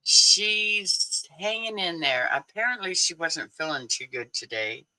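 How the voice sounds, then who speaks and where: Man in his 60s, livestream host, unhurried, very bright tone, chatty with frequent laughs. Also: fairly high pitch, sing-song, poor audio. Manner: chatty, laughs often, answers viewers. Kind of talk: casual livestream chat.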